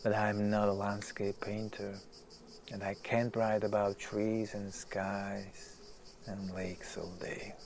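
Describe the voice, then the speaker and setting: An actor playing an anguished, self-doubting writer in an audio drama, intense and brooding, moving between hushed and emphatic delivery. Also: male voice, on the low side, flat delivery, slow pace. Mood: wistful. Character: anguished, self-doubting famous male writer, restless and self-deprecating. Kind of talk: naturalistic audio drama monologue. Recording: clear background hiss, outdoor nature ambience.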